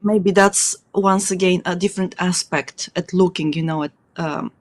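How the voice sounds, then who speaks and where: A woman's voice: on the low side, steady tone, normal speed. A woman talks in English, which is not her first language, as a guest in a casual chat. She thinks aloud and leans on fillers as she looks for words.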